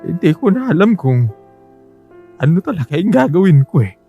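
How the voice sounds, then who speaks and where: A bitter, despairing husband, higher-pitched. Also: male voice, sing-song, creaky, mellow, highs cut off, faint music behind, exaggerated voice. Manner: halting, sarcastic, despairing. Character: desperate, bitter, debt-ridden husband and father. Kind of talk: radio drama acting.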